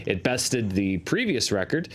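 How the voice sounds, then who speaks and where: A man's voice: on the low side, very animated, unhurried, fairly bright tone. A middle-aged man hosting a box office show, talking through figures conversationally with a self-deprecating, numbers-loving manner.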